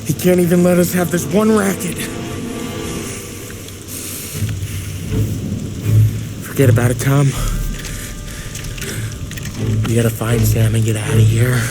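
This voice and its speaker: A man voicing a brash, crude-mouthed mobster in a game cutscene. He jokes in tough street slang at a middle pitch. Loud music plays behind him. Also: fast talker, lightly acted. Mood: tense. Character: brash wisecracking male mobster, middle-aged. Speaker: gangster. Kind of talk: video game cutscene acting.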